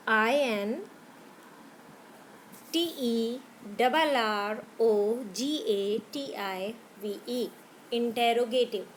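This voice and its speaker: A woman teacher with an Indian English accent, slowly spelling out grammar words letter by letter for young pupils. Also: on the high side, a bit bright, slight background noise, rough recording. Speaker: school teacher.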